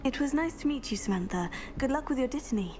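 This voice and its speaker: Polite teen witch, calm n measured, slightly high, game dialogue w music. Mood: polite, composed. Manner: courteous, measured. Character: polite, composed teenage girl, new witch student. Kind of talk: video game dialogue acting.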